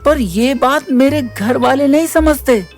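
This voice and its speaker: A man's voice: very high voice, unhurried, soft background music. An actor playing a timid, troubled young male college student in an audio drama, earnest and pleading. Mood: despairing. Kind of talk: Hindi audio drama acting.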